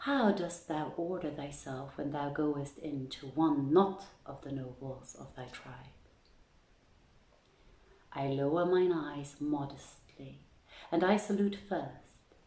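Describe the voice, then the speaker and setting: A woman's voice: low voice, slow pace, mellow, reverberant, low-quality recording. A woman hosting an online reading, moving between informal chat and reading old tales aloud, recorded in a room at home.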